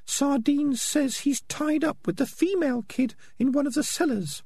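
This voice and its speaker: A man's voice: extremely high pitch, normal speed, darkish tone, no echo, pitched above the performer's own voice. A man voicing a small, prim female talking rat in a storybook, snappish and exasperated. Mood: reporting.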